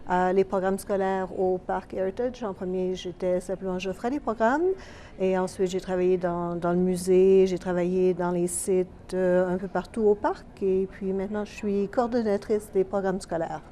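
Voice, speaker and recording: A woman with a Quebec French accent in a casual TV interview, explaining in a measured way with fillers. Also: medium pitch, steady tone, mellow, a little hiss or hum. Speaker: school programs coordinator.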